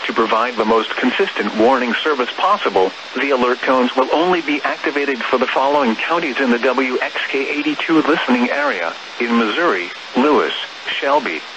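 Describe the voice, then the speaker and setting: A man reading a scripted emergency alert as a weather radio announcer, formal, measured and impersonal, over the radio. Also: medium pitch, vocal fry. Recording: noisy weather radio broadcast.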